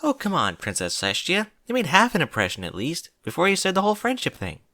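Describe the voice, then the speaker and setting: A man's voice: fairly high pitch, wildly swooping pitch, quick pace, bright, forceful tone, pitched above the performer's own voice, heavily acted. A man voicing a perky young female pony pupil who consoles and encourages in a chirpy manner for a story. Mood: cheerful, consoling.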